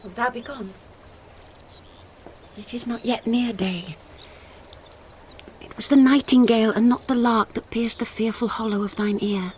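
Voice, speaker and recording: An actress playing a tender, pleading young woman in love, soft and poetic, in a radio Shakespeare drama. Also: fairly high pitch, fairly even intonation, measured pace, long pauses, slight background noise, lightly acted.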